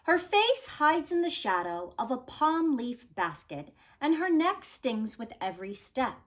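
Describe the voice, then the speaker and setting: A woman reading a children's picture book aloud like a teacher, with deliberate, expressive storytelling delivery. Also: high voice.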